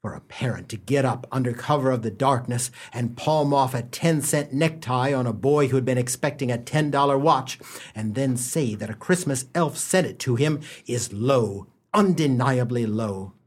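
An actor playing a droll, mock-solemn storyteller narrating a comic radio play. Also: male voice, medium pitch, moderate pace, close to an everyday voice.

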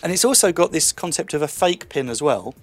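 A man's voice: fairly high pitch, very animated, brisk, slight background noise. A man presenting a tech show year in review, with breezy, teasing banter with his co-host.

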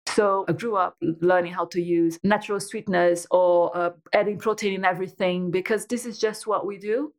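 A woman's voice: fairly low pitch, steady tone, a little fast, hardly any pauses. A woman, chatting as an interview guest and telling her story with lots of restarts and fillers. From Brazil. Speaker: guest entrepreneur. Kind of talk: casual interview chat.

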